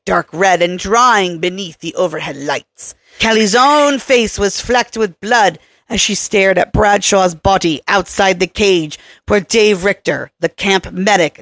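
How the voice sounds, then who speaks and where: A woman's voice: medium pitch, a bit bright, no echo. A woman reading scripted horror fiction aloud as an audiobook narrator.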